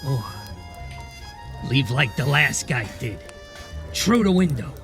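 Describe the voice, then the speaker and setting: An actor playing a slimy, smug crime boss in a noir radio drama, taunting in slangy gangster talk. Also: male voice, mid-range voice, sing-song, takes it slowly, creaky, over music, full character voice. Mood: quietly menacing.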